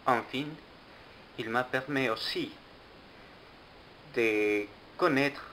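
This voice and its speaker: A young man gives a documentary interview, choosing each word with care. His voice is bright and forward. He speaks at a slow, unhurried pace. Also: mid-range voice, slight background noise, low-quality recording. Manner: slow and deliberate, with frequent pauses. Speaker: international student.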